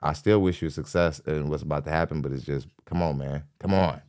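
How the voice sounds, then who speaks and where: A man's voice: a bass voice, a little fast, darkish tone, clean tone, dry recording, studio quality. A man hosting a podcast, giving blunt, mocking live reaction commentary with laughs and repeated jabs. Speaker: podcast host reacting to a clip.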